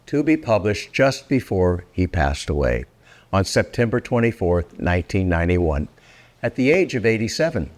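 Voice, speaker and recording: An older man performing a children's story aloud in a theatrical, deliberate, welcoming manner. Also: on the low side. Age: 60s or older. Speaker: storyteller and host.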